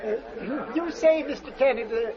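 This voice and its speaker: Male, high-pitched formal coroner, officious and hemming; muffled old radio, loud crowd behind. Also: moderate pace. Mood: stern inquiry. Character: officious, stern male coroner questioning witnesses. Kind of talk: 1940s radio acting. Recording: dull vintage radio recording, crowd noise.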